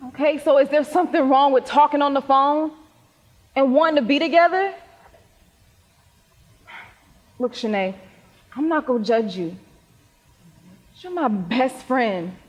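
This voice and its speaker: A woman's voice: high voice, moderate pace, pauses often, close to an everyday voice. A young woman acting in a stage play as a troubled, sincere and guarded teenage girl. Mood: defensive. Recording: echoey hall stage recording.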